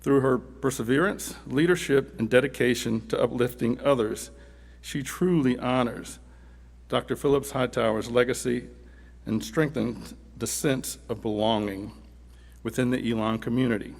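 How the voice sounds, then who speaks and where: A man's voice: medium pitch, steady tone, normal speed, gravelly. Man presenting awards in an echoey hall. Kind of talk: scripted awards ceremony speech. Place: large event hall at an awards ceremony.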